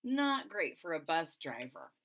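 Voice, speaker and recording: Lively, bright-voiced woman reading to children. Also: sits low, unhurried. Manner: expressive, explains to young listeners, dramatic emphasis. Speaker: storyteller reading a picture book aloud. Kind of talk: animated children's read-aloud with asides. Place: small indoor room at home.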